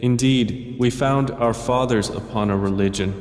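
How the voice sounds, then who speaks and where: Solemn man reading scripture in deep reverb. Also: darkish tone. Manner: measured, short deliberate phrases. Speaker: translation narrator. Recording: heavy echo, long reverb.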